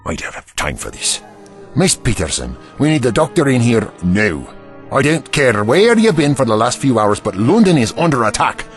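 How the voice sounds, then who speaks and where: A man's voice: medium pitch, very animated, moderate pace, faint music behind, natural voice. A man with a Scottish accent playing an impatient, sardonic Prime Minister in an audio drama. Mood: impatient, urgent. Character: brusque, sarcastic Prime Minister commanding under attack.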